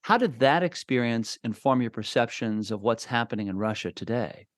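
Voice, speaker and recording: Lively-voiced male interviewer, dry sound. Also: mid-range voice, moderate pace, no echo. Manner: prepared, clearly phrased prompts. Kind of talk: prepared interview questions.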